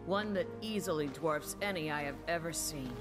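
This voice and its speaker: Woman playing a low-voiced, seasoned woman explorer. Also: over music, close to an everyday voice. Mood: musing, reverent. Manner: measured, musing, assured. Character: seasoned, wry older woman field researcher, mentor. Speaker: game voice actor. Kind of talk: dramatic video game cutscene acting.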